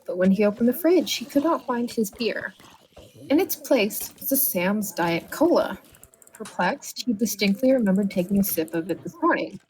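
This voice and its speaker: Woman, medium pitch, dramatic narrator of short horror-comedy bedtime stories. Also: expressive, normal speed, a little mellow, a little hiss or hum, rough recording. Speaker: storyteller reading a written tale aloud.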